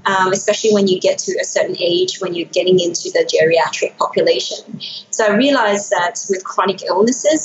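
A middle-aged woman, a native Burmese speaker who grew up in Hong Kong and Australia, talking conversationally as an interview guest in a slightly Australian accent. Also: medium pitch. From born in Myanmar, grew up in Hong Kong and Australia. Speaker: guest, anti-aging physician. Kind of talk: conversational interview answers about own career. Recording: roomy echo, dull sound, faint noise.

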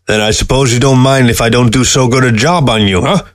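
A man voicing a weary, grumbling old taxidermist in a story, gruff and defensive in manner. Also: mid-range voice, fairly quick, pitched above the performer's own voice, lightly acted. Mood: weary teasing.

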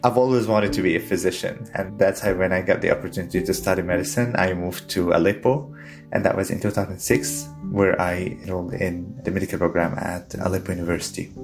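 Low-voiced man in his thirties recalling. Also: steady tone, fairly quick, music in the background. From Syria. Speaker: physician, interviewee. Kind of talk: interview recollection.

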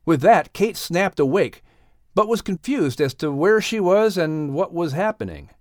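A man reading a scripted audiobook story with a dramatic, expressive manner. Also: fairly high pitch, measured pace, dry recording, clean studio sound. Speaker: audiobook narrator.

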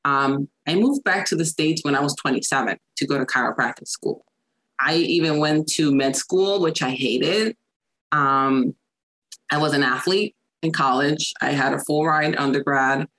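A woman with a Puerto Rican accent, a chiropractor chatting as a podcast guest, laughing often and filling pauses with ums. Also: low-pitched, fairly bright tone, poor audio. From Puerto Rico. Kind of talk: casual interview chat.

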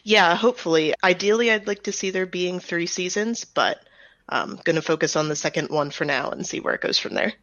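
Lowish-voiced woman guest, slightly dull audio. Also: a little fast, a bit bright, dry recording. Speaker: interview guest, audio drama writer-director. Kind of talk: casual interview chat.

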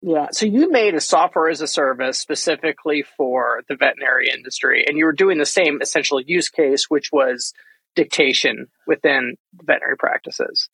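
A man's voice: on the high side, moderate pace, gravelly, sharp tone, slightly rough, no room sound, low-quality recording. A man hosting an interview, asking questions in a casual style and thinking aloud as he works his way into each one. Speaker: podcast host, interviewer.

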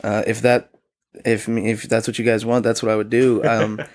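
A young man chatting hesitantly on a podcast, halting and soft-spoken as he talks things through. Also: on the low side, flat delivery. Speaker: returning podcast guest. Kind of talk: casual podcast chat.

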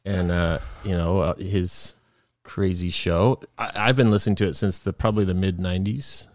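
A sniffly man with a lowish voice hosts a casual podcast chat. He rambles, laughs a lot and trails off mid-thought. The recording is dry and close. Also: fairly even intonation, moderate pace, a little mellow, no echo. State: sniffly from a cold. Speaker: podcast host.

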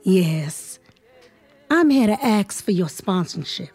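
A woman's voice: mid-range voice, sing-song, slow, darkish tone, slightly rough, heavily acted. An actress playing an eager, spirited young woman writer in an audio drama, polite and persuasive as she seeks patronage. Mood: bright, polite.

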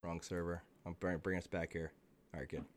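A man co-hosting a casual gaming podcast, with a hoarse, raspy voice and an animated way of jumping in. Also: sits low, fairly quick, a little hiss or hum. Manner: animated, interrupts often. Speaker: podcast co-host.

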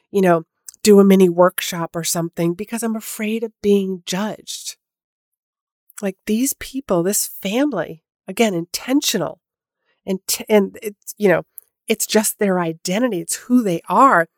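A woman's voice: medium pitch, darkish tone, no room sound, studio quality. A woman hosting a solo podcast, talking in a chatty way with lots of fillers and restarts, sounding a little nasal from a head cold. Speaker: podcast host. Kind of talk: casual solo podcast talk.

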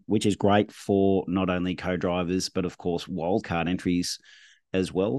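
A man with a hoarse, husky voice hosting a motorsport podcast in a relaxed, conversational way. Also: low voice, dry recording, clean studio sound. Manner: conversational, measured. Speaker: podcast host. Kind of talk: casual motorsport chat.

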